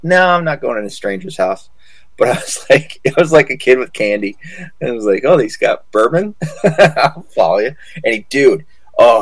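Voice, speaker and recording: Male podcast co-host, lower register, loose and sweary conversational delivery, dry close sound. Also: no echo. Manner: profane, colloquial, calls listener dude. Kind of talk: casual, rambling political chat and anecdote.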